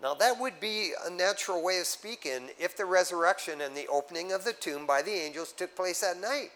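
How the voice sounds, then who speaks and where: Animated male preacher teaching scripture. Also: sits high, fairly quick, low-quality recording. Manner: animated teaching with asides to the congregation. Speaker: pastor. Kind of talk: sermon.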